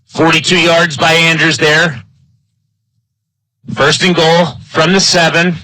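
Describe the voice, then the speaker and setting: A man announcing live football play-by-play in clipped phrases, his excitement building on big plays. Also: fairly high pitch, slow, no echo, low-quality recording. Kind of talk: live sports play-by-play commentary.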